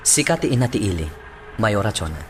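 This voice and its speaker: Matatag na hepe ng pulisya. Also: male voice, on the low side, sing-song, brisk, a little hiss or hum. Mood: firm, earnest. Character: earnest police chief, firm and direct. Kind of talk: Ilocano radio drama acting.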